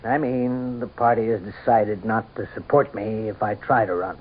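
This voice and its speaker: An actor playing a weary, principled governor and husband in a radio drama, speaking earnestly and with resignation. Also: male voice, lowish voice, steady tone, normal speed, slightly rough. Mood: resigned. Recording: muffled, noisy old radio broadcast.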